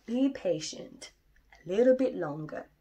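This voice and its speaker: A woman voicing a calm, patient father bear who speaks slowly and soothingly. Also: medium pitch, breathy edge. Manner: slow and soothing.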